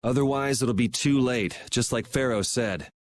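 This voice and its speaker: A man's voice: mid-range voice, moderate pace, lightly acted. An actor playing a pragmatic young swordsman hero in a video game, laid-back and matter-of-fact.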